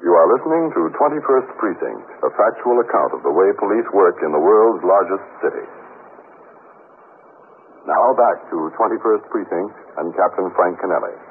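A man's voice: low voice, animated, normal speed. A man making a scripted announcement in the manner of 1950s radio. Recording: muffled 1950s radio recording, hiss.

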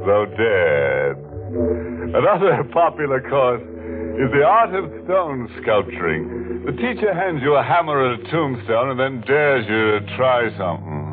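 A man's voice: mid-range voice, very animated, background noise. A man playing a ghoulish, tongue-in-cheek host of a 1940s radio horror show, drawing out morbid jokes with mock-sinister relish. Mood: gleefully macabre. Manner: drawn-out, mock-sinister delivery with macabre relish. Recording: muffled old radio recording with music.

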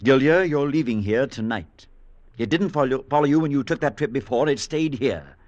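An actor playing a concerned, resolute priest who narrates, earnest and firm, in a late 1940s radio drama. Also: male voice, medium pitch, lively intonation, normal speed, darkish tone, no room sound, dull audio, natural voice. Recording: vintage radio broadcast with clear music.

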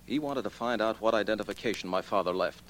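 An actor playing a restless, defensive heir under suspicion, emphatic and protesting, in 1940s radio style. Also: male voice, fairly low pitch, fast, a bit bright, natural voice. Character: restless, defensive wealthy heir, a male suspect. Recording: noisy old 1940s radio recording.

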